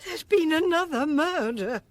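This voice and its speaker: Radio drama: indignant, fretful housemaid, high-pitched, superstitious, melodramatic. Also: female voice, expressive, measured pace. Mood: alarmed.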